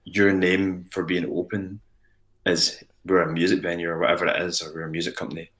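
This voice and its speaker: A Scottish man with a very deep, low voice speaks in a relaxed interview. He talks earnestly and rambles, restarting his sentences often as he works through his thoughts. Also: brisk. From Ayrshire, Scotland. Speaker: musician guest. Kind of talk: casual interview chat.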